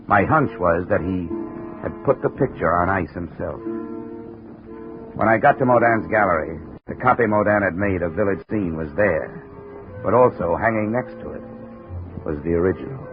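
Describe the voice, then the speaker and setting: An actor playing a world-weary, wry investigator with clipped, dry delivery in a 1950s radio detective drama. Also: male voice, sits low, normal speed, music in the background, lightly acted. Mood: wry, brooding. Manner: clipped, dry, hard-boiled. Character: world-weary, wry hard-boiled male insurance investigator. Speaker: narrator and lead. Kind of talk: 1950s radio detective acting, first-person narration. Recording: tinny 1950 radio recording.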